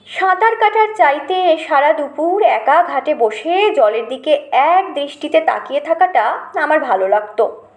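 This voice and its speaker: A woman with a high voice narrates a literary memoir. Her delivery is expressive, scripted storytelling, and the recording has a clear roomy echo. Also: unhurried.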